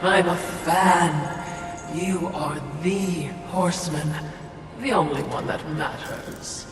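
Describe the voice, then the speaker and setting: A man voicing a sly, obsequious demonic servant in a video game, unctuous and flattering in a theatrical way. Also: on the high side, slow. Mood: fawning. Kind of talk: video game cutscene acting. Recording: echoey hall, music behind.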